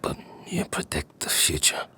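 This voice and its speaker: An actor playing a bitter, sardonic, wounded man in an audio drama, speaking in a hushed, breathy voice. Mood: probing. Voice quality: breathy, whispery, very deep voice. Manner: slow, hushed, probing and sardonic.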